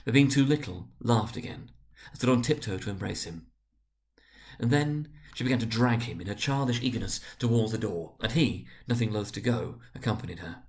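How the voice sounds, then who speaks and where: A man reading a story aloud as dramatic narration, with expressive, theatrical delivery. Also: on the low side, creaky. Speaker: storybook reader.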